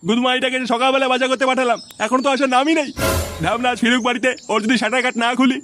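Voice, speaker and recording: A crabby cartoon dad, played by a man, with an unnaturally high voice. He nags and threatens crudely. Music plays clearly underneath. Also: flat delivery, very fast. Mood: angry. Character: bad-tempered, foul-mouthed, scolding father. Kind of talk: comedy cartoon voice-over.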